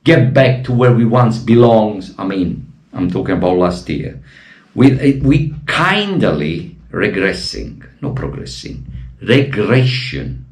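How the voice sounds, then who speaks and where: Passionate Italian man, lively slow delivery. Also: on the low side. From Italy. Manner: emotional, passionate, bursts into shouted appeals. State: losing his voice. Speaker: football fan vlogger. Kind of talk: impassioned fan commentary to camera.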